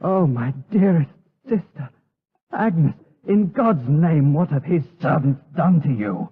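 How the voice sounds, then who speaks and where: A man's voice: a little high, lively intonation, slow, slightly rough, no room sound, heavily acted. A man playing an earnest, protective nobleman in a gothic audio drama, speaking formally and dramatically. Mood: shocked, tender. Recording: tinny radio drama, faint noise.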